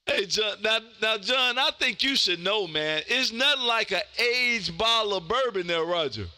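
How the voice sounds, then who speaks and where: A man in his fifties with a loud, exuberant, folksy manner, chatting casually as he hosts amateur radio contacts. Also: high voice, expressive, normal speed. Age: 50s. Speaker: amateur radio operator hosting a live stream. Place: indoor home radio room.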